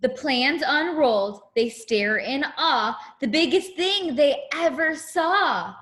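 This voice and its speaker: A woman's voice: high voice, expressive, takes it slowly, a bit bright, echoey room. A woman teacher reading a picture book aloud to young children, expressively, with simple asides to her listeners.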